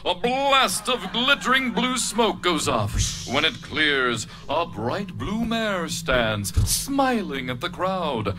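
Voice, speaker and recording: A man's voice: high-pitched, sing-song, slow, few pauses. A man reading stage directions as the narrator of a live cartoon script reading. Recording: live reading with music behind.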